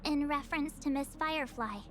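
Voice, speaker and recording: A woman's voice: high voice, brisk, soft background music, lightly acted. An actress voicing an earnest, inquisitive teenage girl adventurer in a video game, with a youthful manner. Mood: earnest, concerned. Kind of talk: scripted video game dialogue.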